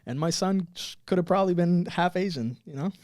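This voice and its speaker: A man in his twenties, a podcast guest born in Cuba and raised in Miami, telling stories in a relaxed, rambling way with many fillers. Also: sits high, brisk, dry recording, studio quality. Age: 20s. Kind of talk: casual chat, telling stories.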